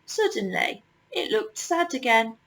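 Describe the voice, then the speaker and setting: Slow-paced woman reading a children's story. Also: a little high, sing-song, vocal fry, fairly bright tone, poor audio. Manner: measured, with deliberate pauses. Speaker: storyteller reading a picture book. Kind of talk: children's story read-aloud.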